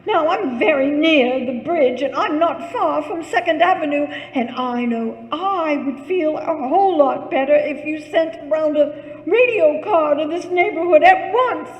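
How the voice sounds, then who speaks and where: High-pitched fretful invalid wife played by an actress. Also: female voice, measured pace, dull audio. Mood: insistent. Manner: fretful, imperious, stammering when rattled. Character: anxious, demanding bedridden invalid wife, easily panicked. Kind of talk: suspense radio play acting. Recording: echoey hall with long reverb.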